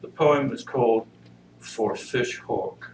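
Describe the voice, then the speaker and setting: Slow, solemn man reading in an echoey room. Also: mid-range voice, a little mellow. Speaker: congregation member reading inmates' poetry. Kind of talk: introduction and poetry reading. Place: church service, indoor room. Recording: roomy, noisy pickup far from mic.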